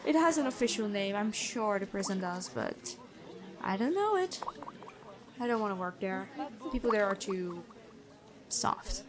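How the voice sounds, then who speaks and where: A woman playing a stern but kind, noble-born prison guard in a fantasy ASMR roleplay, talking in a measured, conversational way. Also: mid-range voice, sing-song, noisy background. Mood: confiding.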